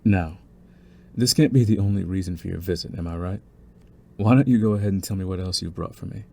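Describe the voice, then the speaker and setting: A man playing a young mafia heir in an intimate audio roleplay, smooth-spoken and deliberate, with a cunning, condescending streak beneath his courtesy. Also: deep voice, warm tone. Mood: courteous, probing. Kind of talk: intimate scripted audio roleplay, ASMR acting.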